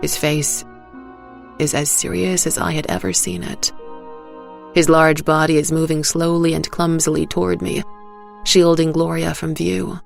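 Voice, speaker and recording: A woman's voice: sits low, level tone, unhurried, gravelly, faint music behind. A woman reading a scripted first-person story as a narrator.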